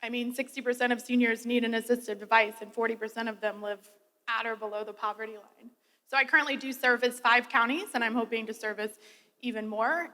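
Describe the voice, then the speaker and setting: A woman's voice: a little high, bright, forceful tone. A woman contestant answering judges' questions about her venture in an eager, hopeful way. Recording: echoey hall.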